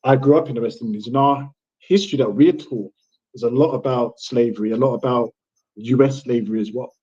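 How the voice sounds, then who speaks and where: A man born in England and raised in Jamaica, speaking on a live panel stream. His voice is dark and slightly husky, and his loose, rambling talk comes through a muffled, lo-fi call connection. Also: mid-range voice, brisk. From born in England, grew up in Jamaica. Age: adult father with children. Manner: relaxed, rambling with many restarts. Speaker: panel guest. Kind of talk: casual livestream chat.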